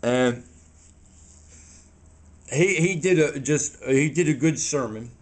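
A man, telling a story to the camera in a rambling, halting way and sounding a little shaky, recorded in a small room on a camera in front of him. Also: medium pitch. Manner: rambling, halting, animated hand gestures. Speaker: vlogger.

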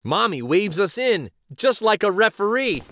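A middle-aged man reads a picture book aloud as host, in an expressive, dramatic manner. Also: sits high, normal speed, a little hiss or hum. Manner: animated, dramatic. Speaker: children's storybook reader and show host.

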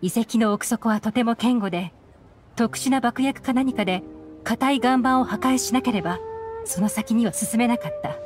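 An actress playing a composed, shrewd young woman investigator in a game, explaining things in a measured way. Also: on the high side, sing-song. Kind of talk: Japanese game voice acting. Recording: game music behind the voice. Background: background game music.

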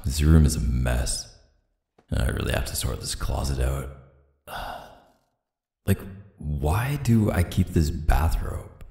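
Guy acting as a laid-back sweet boyfriend, slow mellow gravelly voice, slangy asmr roleplay, super close dry sound. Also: a bass voice, dry recording, exaggerated voice. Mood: musing, muttering. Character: easygoing, teasing but tender young boyfriend. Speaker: roleplay audio performer.

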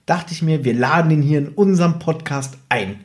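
A man's voice: a little high, normal speed. A man co-hosting a podcast in casual, playful, storytelling chat, sharing one microphone in a living room. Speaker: podcast co-host. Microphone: one microphone shared by two people.

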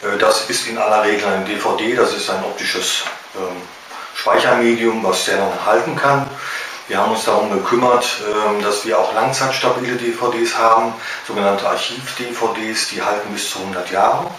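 Halting Ruhr-accented man, echoey room. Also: lowish voice, steady tone, fairly bright tone, slight background noise. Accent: Ruhr German. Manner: halting, many filler sounds. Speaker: small business owner. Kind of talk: trade fair interview statement. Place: indoor room with shelves. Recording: roomy echo from a distance.

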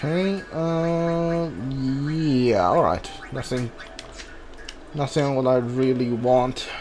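A gamer talks casually through a playthrough in a mid-range voice, halting and thinking aloud as they go. Game music plays behind the voice. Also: medium pitch, a little mellow. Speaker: video game player. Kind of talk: casual gameplay commentary.

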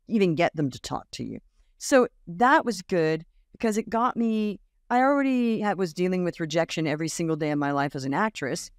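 A woman in her fifties, raised in Australia, telling stories as a podcast guest, lively and acting out the voices of others. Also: fairly low pitch, no room sound, studio quality. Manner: animated storyteller, acts out quoted voices, laughs often. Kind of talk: casual interview storytelling. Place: home room with bookshelf. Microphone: large studio mic on boom arm, video call.

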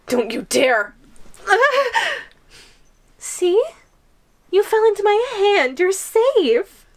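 A woman's voice: high-pitched, a bit bright, full character voice. A woman playing a sadistic, obsessively jealous giantess in an ASMR audio roleplay, gloating and teasing. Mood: menacing, teasing. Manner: gloating, teasing, drawn-out delivery.

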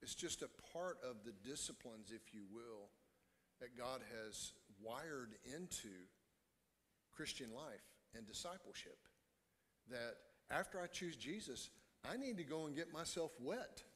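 An echoey hall carries the voice of a middle-aged man preaching. He is a pastor with a medium-pitched voice. He speaks earnestly and deliberately, pausing between phrases. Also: expressive, a bit bright. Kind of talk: sermon to a congregation. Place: church stage, indoors. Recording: echoey hall with long reverb.

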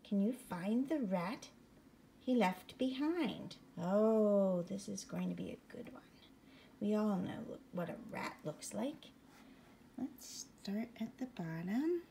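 A woman reads a bedtime picture book in a mellow, gentle voice that often drops toward a whisper. She takes her time and puts questions to a young listener. Faint room noise sits behind her. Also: medium pitch, expressive, slow pace, gravelly. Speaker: bedtime story reader. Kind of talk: reading a picture book aloud to young children.

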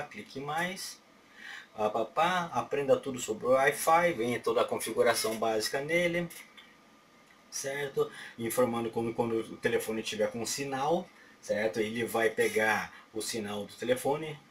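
A man's voice: mid-range voice, fairly bright tone. A middle-aged Brazilian man with a Brazilian Portuguese accent, walking through a phone setup in a loose, rambling way, often checking in with "right?". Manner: rambling, fills pauses with "certo?" and mouth sounds like "pá, pá". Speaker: tech tutorial host. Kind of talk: casual how-to walkthrough. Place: small home office at a desk. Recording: distant webcam mic with faint room noise.

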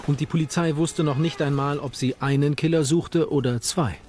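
A man's voice: on the high side, fairly even intonation, normal speed, a little mellow. A man reading scripted narration for a true-crime documentary. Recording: narration over background music.

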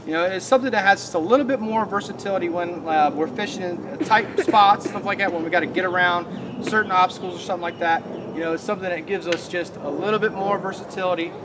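A man hosting an outdoors channel, casually talking through a how-to demonstration outside in a leafy forest, relaxed and chatty. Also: sits high, quick pace. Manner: relaxed, chatty, laughs easily. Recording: faint outdoor background noise.

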